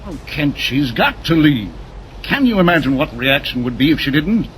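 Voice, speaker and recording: An actor playing a gruff, harried railroad superintendent in 1940s radio style, exclamatory and blustering. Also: male voice, fairly high pitch, sing-song, normal speed. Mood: worried. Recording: noisy old 1940s radio broadcast, vehicle noise.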